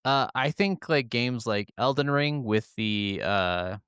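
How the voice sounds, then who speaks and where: A man's voice: medium pitch, takes it slowly, a bit bright, clear, resonant tone, dry recording, studio quality. A man co-hosting a gaming podcast in casual, opinionated chat, rambling enthusiastically through explanations and swearing freely.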